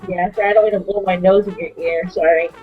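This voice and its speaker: A woman co-hosting a casual chat, sniffly and stuffed up, reacting often with quick little interjections. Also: fairly low pitch, steady tone, narrow, tinny audio, over music. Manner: chatty, quick back-channel reactions. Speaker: co-host.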